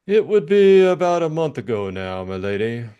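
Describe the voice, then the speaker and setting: Casting: male reader as formal, measured butler, deferential to the household, audiobook drama, lively pitch movement. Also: smooth, dull audio, close to an everyday voice.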